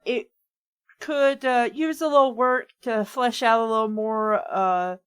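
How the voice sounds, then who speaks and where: A woman with a somewhat high-pitched voice. She hosts casually, chatting and reading aloud in an informal conversational style. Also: fairly even intonation, a little slow, fairly bright tone, smooth. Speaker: podcast host leading the discussion. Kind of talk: casual chat and read-aloud.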